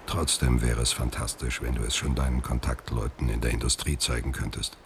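A man acting a proud, washed-up middle-aged actor in a German radio drama, speaking in a measured, deliberate way. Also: a bass voice, flat delivery, noisy background. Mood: reflective, composed.

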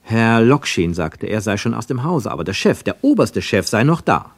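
A man narrating a scripted radio drama in a measured, literary and dramatic manner. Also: lowish voice, sing-song, no echo.

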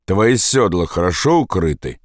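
A man voicing a tired, terse soldier in an audiobook, replying curtly and calmly. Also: deep voice, normal speed, dry recording, studio quality. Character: weary foreign soldier, terse and calm.

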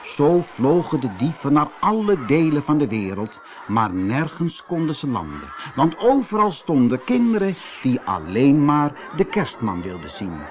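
Animated Dutch male storyteller. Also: fairly high pitch, measured pace, slight background noise. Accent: Netherlands Dutch. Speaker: storybook narrator. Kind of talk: scripted fairy-tale narration for children.

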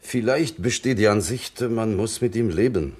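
A man's voice: fairly low pitch, moderate pace, darkish tone, slight background noise, lightly acted. A man playing a courteous, evasive French prison director with a French accent in a radio play, formal and searching for words in German. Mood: guarded, polite.

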